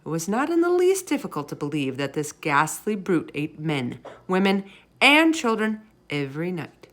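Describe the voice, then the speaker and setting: A woman reading a children's story aloud as an expressive narrator. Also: lowish voice, normal speed.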